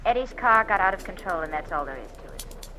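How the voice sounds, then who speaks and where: A woman's voice: lowish voice, expressive, a little fast, noisy background, natural voice. An actress playing a cold, wealthy woman with a shady past who gives curt, contemptuous retorts, in 1940s radio drama style.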